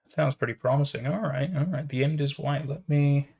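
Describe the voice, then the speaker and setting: A man's voice: fairly high pitch, steady tone, fairly quick, gravelly, a little mellow, breathy edge. A man casually chatting and reacting as a livestreamer, musing aloud and often repeating his phrases. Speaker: streamer reacting to horror videos.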